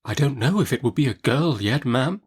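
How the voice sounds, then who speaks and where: A man's voice: medium pitch, animated, moderate pace, a little mellow, breathy edge, voice pushed up. A man voicing a meek, tearful young widowed woman in a classic novel audiobook, with a faltering, plaintive and deferential manner.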